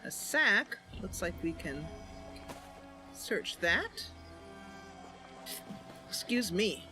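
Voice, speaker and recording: Slow-paced woman narrating her gameplay. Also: medium pitch, very animated, music in the background. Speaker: gamer hosting a roleplay series. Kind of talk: casual gameplay commentary and narration.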